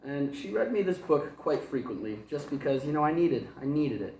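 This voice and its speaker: A man's voice: fairly high pitch, sing-song, normal speed, darkish tone, a little hiss or hum. A man, a kindergarten teacher, reading a picture book aloud to children with chatty, rambling asides and chuckles. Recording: echoey room.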